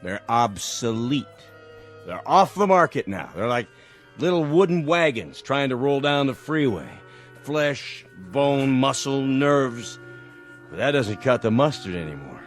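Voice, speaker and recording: Radio drama barfly: drunk working man, bitter and defiant, rambling with lively intonation. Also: mid-range voice, unhurried, soft background music. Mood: morose, boozy. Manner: boozy, rambling, belligerent.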